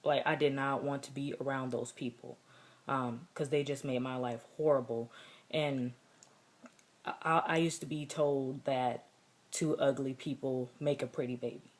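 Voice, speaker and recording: A young woman with a deep voice, talking earnestly and reflectively in a personal vlog, with many fillers. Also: fairly even intonation, moderate pace. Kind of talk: personal vlog contest entry.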